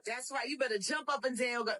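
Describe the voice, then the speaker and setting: A woman's voice: sits high, quick pace, crowd noise. A woman who says she has a husband and children, giving sassy, mocking commentary with lots of emphasis. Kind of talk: animated commentary.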